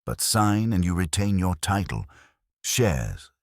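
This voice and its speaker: A man reading a scripted story as narrator in a measured, formal way. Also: low voice, big pitch swings, dry recording, studio quality.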